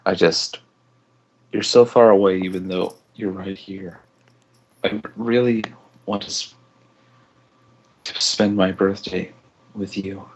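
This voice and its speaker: A man's voice: sits low, steady tone, slow, long pauses, heavily acted. A man voicing a lonely young princess in a story reading, rambling and correcting herself. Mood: sad, pleading. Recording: dull lo-fi microphone.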